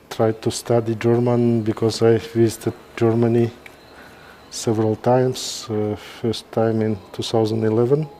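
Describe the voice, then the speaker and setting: A middle-aged man with a Kazakh accent speaks slowly in a mellow, soft-edged voice. He gives informal commentary in halting phrases, often pausing mid-sentence. Faint background noise sits behind him. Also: mid-range voice. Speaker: neurosurgeon presenting a live case. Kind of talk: informal live-stream commentary.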